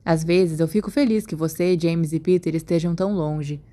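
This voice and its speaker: A woman's voice: low voice, fairly even intonation, moderate pace, warm tone. A woman with a Brazilian accent giving a scripted audiobook-style narration of fan fiction. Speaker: podfic narrator.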